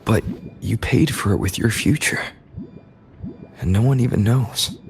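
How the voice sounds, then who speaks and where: A man voicing an earnest, sympathetic young adventurer in an anime video game, speaking in a measured way. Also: lowish voice, animated, vocal fry. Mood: solemn. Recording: faint background noise and ambience.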